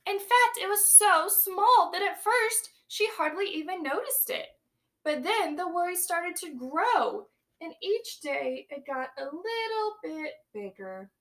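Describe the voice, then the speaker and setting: A young woman teacher reads a picture book aloud for children. Her voice sits high and sounds bright and clear. She reads with lively expression and stops to ask the listeners questions. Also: a little slow.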